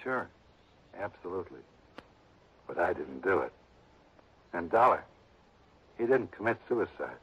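A man's voice: sits low, very animated, a little slow, pauses often, a little hiss or hum. An actor playing a lazy, blunt man who inherits from a dead relative, drawling and defensive, in a 1960s radio drama. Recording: dull lo-fi old radio broadcast.

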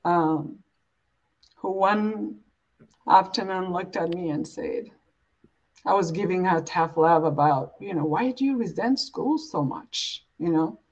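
A woman's voice: lowish voice, takes it slowly. Woman, dull lo-fi recording. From East Africa, born and raised in Uganda. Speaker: interview guest. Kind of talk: reflective, earnest interview talk. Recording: dull, lo-fi audio.

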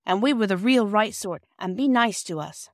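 A woman's voice: medium pitch, animated, moderate pace, dry recording, studio quality, pitched above the performer's own voice, lightly acted. A woman voicing a chatty, sensitive little girl, the younger sister in a storybook, talking in earnest runs. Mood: excited.

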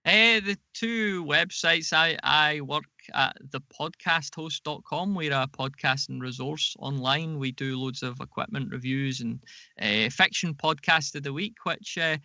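Bright-voiced man, gravelly edge. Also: a little high, measured pace, dry recording. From Scotland. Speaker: podcast guest, audio drama producer. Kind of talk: casual interview chat.

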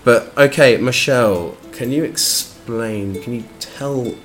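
A man's voice: medium pitch, slow pace, soft background music. A man hosting a podcast interview, chatty and enthusiastic, with lots of fillers and restarts. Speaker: podcast host. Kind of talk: casual interview chat.

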